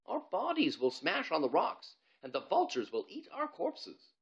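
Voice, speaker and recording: A man's voice: high-pitched, huge pitch swings, highs cut off, pitched above the performer's own voice, exaggerated voice. A man voicing an anxious, dramatic seventh-grade boy who whines and pleads in a storybook reading. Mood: reluctant dread. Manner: whiny, pleading, drawn-out protests.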